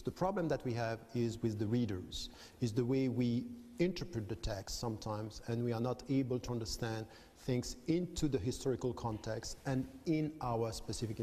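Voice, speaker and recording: A man from Switzerland answering as a guest academic, explaining in a measured way and repeating phrases for emphasis. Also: medium pitch, warm tone. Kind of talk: debate answers. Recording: long hall reverb.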